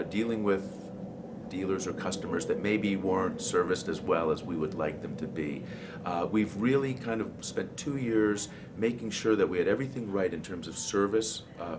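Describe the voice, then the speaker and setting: Male trade show distributor, very low pitch, explanatory and halting, clearly noisy room. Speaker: audio distributor presenting products. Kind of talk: informal trade show product presentation.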